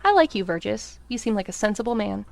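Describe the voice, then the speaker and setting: A woman playing a pragmatic female leader in an audio drama, measured and commanding. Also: medium pitch, animated, creaky, soft background music. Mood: firm, approving.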